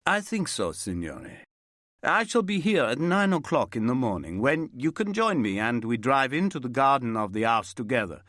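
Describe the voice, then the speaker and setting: A man's voice: mid-range voice, big pitch swings, moderate pace, a bit bright, no echo, studio quality, pitched above the performer's own voice. A man voicing an older Italian window cleaner, tired and worn down, with an Italian accent. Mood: polite.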